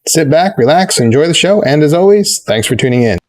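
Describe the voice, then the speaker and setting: A man's voice: fairly high pitch, animated, fairly quick. Conversational male channel host. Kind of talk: scripted channel appeal to listeners.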